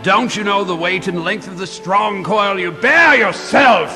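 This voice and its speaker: A tormented ghost of a dead miser in a live radio play, wails and declaims in a high, gravelly voice. The delivery is mournful and theatrical, with a sharp edge. It rings in a long hall reverb with music behind. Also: male voice, a little slow, heavily acted. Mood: grave reproach. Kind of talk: live radio drama acting.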